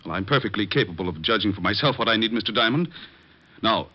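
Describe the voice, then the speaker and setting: Jittery fussy client, lowish voice, talks fast, muffled old radio drama. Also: male voice, animated. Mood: prim, insistent. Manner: fussy, formal, hurried. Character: nervous, prim, evasive male client. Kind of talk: 1940s American radio drama acting. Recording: dull vintage radio recording.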